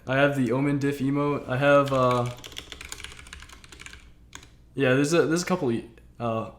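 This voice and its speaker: A man's voice: mid-range voice, steady tone, measured pace, a little hiss or hum. A man hosting a casual livestream chat, talking in a slangy, fannish way. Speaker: game streamer, host. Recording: roomy, echoing sound.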